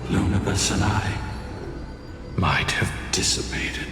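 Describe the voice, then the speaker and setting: A man's voice: on the low side, slow pace, heavy reverb, in a large hall, over music. A man voicing an ancient, solemn guardian in a video game, speaking in a grave, formal manner. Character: ancient solemn male guardian bound by pact.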